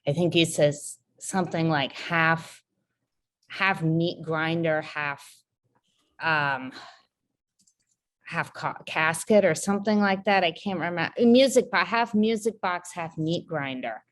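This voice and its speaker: A woman's voice: low-pitched, takes it slowly. A woman around forty, a poet on a panel, answering thoughtfully and searching for her words. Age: about 40. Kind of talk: reflective panel conversation.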